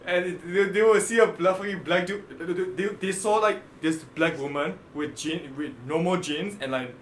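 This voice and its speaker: A man co-hosting a casual podcast, telling a story excitedly with lots of swearing among friends. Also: high-pitched, normal speed, few pauses, echoey room, a little hiss or hum. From raised partly in Singapore. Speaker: podcast co-host. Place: indoor room with friends.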